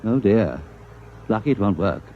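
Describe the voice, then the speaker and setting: An actor playing an earnest, formal and fussy British intelligence officer with a British accent in a 1950s radio drama. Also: male voice, lowish voice, animated, moderate pace, a little hiss or hum, close to an everyday voice. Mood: businesslike, pleased. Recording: muffled old radio recording.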